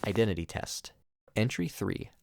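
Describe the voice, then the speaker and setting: A man's voice: lowish voice, big pitch swings, moderate pace, gravelly, soft background music. A man narrating scripted commentary as a video reviewer. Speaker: YouTube narrator reviewing horror series.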